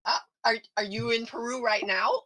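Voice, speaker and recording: A woman from Michigan co-hosting an online class on a video call, talking in halting, friendly bursts and laughing easily. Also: a little high, fairly even intonation, bright, forceful tone, poor audio. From Michigan, USA. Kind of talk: casual chat on a video call.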